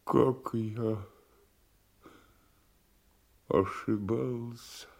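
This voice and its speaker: An actor playing a broken, remorseful old blinded nobleman in a classical tragedy, halting, faint and grief-laden. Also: mid-range voice, steady tone, vocal fry, a little mellow. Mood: remorseful, praying. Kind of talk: classical Russian stage tragedy acting.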